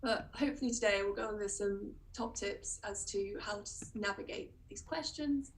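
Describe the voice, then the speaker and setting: A woman's voice: mid-range voice, normal speed. A young British woman doctor with a cold, presenting on a webinar in a hesitant way, full of ums and restarts. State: sniffly with a cold. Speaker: doctor giving a webinar talk. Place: indoor room. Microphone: video call webcam. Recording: distant mic with faint background noise.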